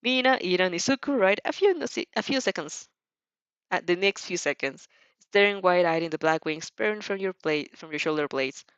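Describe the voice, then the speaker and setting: Female narrator for audio fanfic, expressive and highly animated, close dry sound, slightly lo-fi. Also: brisk, smooth, no room sound. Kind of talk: dramatized fan fiction narration.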